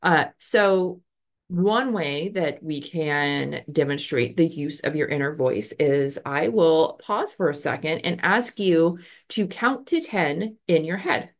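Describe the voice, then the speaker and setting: Woman in her thirties, low-ish voice, casual explainer, webcam from a home office. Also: animated, takes it slowly. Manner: conversational, many fillers, gestures with her hands. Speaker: educator explaining research. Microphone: webcam on a video call.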